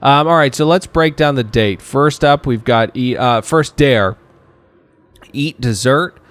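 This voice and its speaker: A man's voice: medium pitch, measured pace, a bit bright, no echo. A man hosting a casual comedic TV recap podcast, wry and jokey with sardonic asides. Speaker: podcast host.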